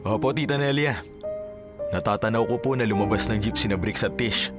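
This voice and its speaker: A fairly low-voiced young man played by a male actor as a devoted suitor in a radio drama. He talks frankly and familiarly over loud background music. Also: fairly quick, lightly acted. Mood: reassuring. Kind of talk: Filipino radio drama acting. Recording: loud music under the dialogue.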